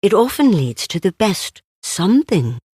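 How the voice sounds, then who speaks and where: A woman voicing a gentle, musing, simple-hearted male toy bear in a children's storybook, speaking plainly. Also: mid-range voice, big pitch swings, measured pace, slightly rough, no echo, clean studio sound. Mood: calm, advising. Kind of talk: children's storybook read-aloud.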